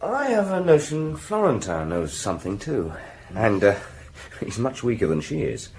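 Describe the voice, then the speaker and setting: An actor playing a keen, deferential police subordinate in a radio drama, eager and informal. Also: male voice, medium pitch, very animated, normal speed, a little mellow, a little hiss or hum. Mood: eager, suggesting.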